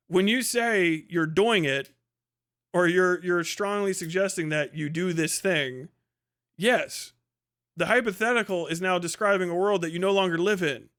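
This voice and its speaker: High-pitched, blunt American man ranting. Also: a bit bright. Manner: blunt, profane, exasperated; argumentative, emphatic repetition. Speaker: livestream host. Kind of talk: casual unscripted livestream rant, answering chat.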